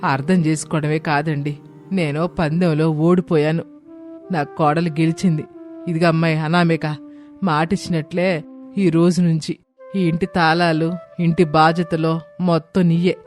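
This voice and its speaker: A man's voice: a little high, level tone, measured pace, soft background music, close to an everyday voice. A man voicing an affectionate, humbled older mother-in-law, speaking with heartfelt emotion in a moral story. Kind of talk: dramatised Telugu moral story.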